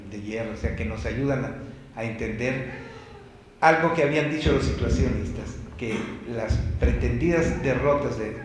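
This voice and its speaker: A man from Italy with an Italian accent giving a public talk in Spanish, often restarting and repeating words. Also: medium pitch. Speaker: co-speaker at a talk. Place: library event room. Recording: very echoey room, faint background noise.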